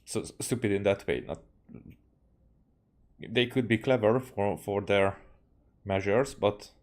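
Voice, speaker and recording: Hungarian guy streaming a game, kinda low voice, super slow, rambles and hesitates a lot. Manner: hesitant, halting, musing. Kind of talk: casual rambling live stream commentary.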